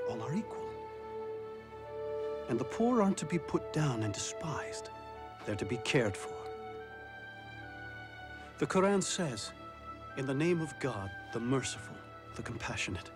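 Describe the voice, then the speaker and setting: A devout young convert tells his story in an animated film, voiced by a man. His medium-pitched delivery is earnest and reverent, with clear music underneath. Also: close to an everyday voice. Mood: solemn. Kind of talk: scripted dramatic narration in animated film. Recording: loud music behind the voice.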